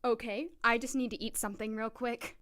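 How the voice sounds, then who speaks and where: A woman's voice: on the high side, fairly even intonation, a little fast, fairly bright tone, close to an everyday voice. A young woman playing a chatty, upbeat doctor new in town in an audio drama, with a jokey, nervous rambling manner. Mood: cheerful.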